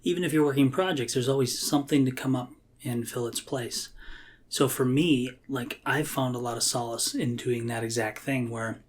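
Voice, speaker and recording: Husky-voiced man in casual reflective chat. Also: mid-range voice, steady tone, normal speed. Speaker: IT worker.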